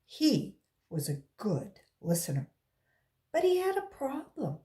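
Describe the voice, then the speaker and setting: A woman reading a children's story aloud in an expressive, deliberate storytelling way. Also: sits high. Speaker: volunteer storybook reader.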